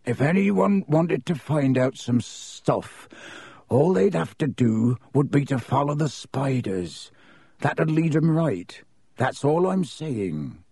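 A man voicing a big, rough, loyal and hot-tempered fellow in an audiobook, with gruff, growling, rustic speech. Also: mid-range voice, sing-song, takes it slowly, hardly any pauses, a little mellow, dry recording, studio quality, full character voice. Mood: gruff, deliberate.

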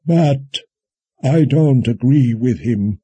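A man voicing a wry, folksy, kindly old wizard in an audiobook, musing and teasing in a drawn-out way. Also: mid-range voice, dark tone, husky, no room sound, pitched above the performer's own voice. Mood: measured, doubting. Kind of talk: audiobook character dialogue.

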